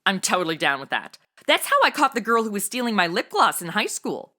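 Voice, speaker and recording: Bright, sassy young sidekick. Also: female voice, medium pitch, very animated, a little fast. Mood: flippant, gossipy. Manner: sassy, casual modern slang. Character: brash, nosy, flippant modern young woman sidekick. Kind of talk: comedy audio drama acting.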